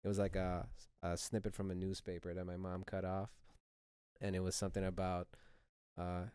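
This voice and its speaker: A young man from Saudi Arabia telling his life story in a relaxed, rambling, easygoing way as an interview guest. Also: low voice, moderate pace, clear, resonant tone, dry recording, clean studio sound. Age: young adult. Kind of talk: casual conversational testimony.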